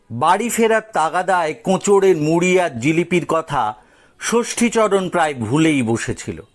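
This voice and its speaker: A man narrating a scripted audio story dramatically, with theatrical, suspenseful pauses. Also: a little high.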